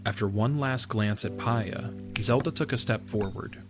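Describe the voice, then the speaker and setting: A man's voice: lowish voice, normal speed. A man reading scripted story narration for an audio drama, in the manner of an audiobook. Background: background music.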